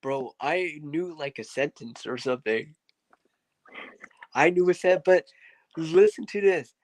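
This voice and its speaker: A man's voice: on the high side, a little slow, darkish tone, dry recording. A man, a podcast guest, telling a story in a casual, rambling way with many fillers and asides.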